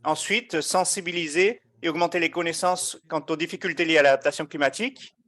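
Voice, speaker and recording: A man giving a live interpretation of an online meeting, measured and often hesitating as he keeps up with the speakers. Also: a little high, a bit bright. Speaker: simultaneous interpreter.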